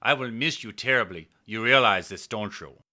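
A man voicing a lovesick senior space officer who gives in reluctantly, tender and pleading in an audiobook, with halting repetitions and courtly endearments. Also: fairly low pitch, sharp tone. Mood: pleading, defeated.

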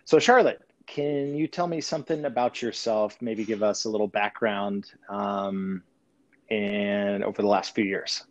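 A man hosting a conversational podcast interview, putting his questions in a slightly halting way. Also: fairly low pitch, fairly even intonation, no room sound, highs cut off.

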